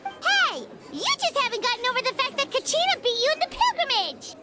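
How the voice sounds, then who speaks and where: A woman voicing a tiny, excitable girl companion in a game, blunt and quick to defend her friends. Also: over music. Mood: indignant. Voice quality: squeaky. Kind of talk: game voice acting.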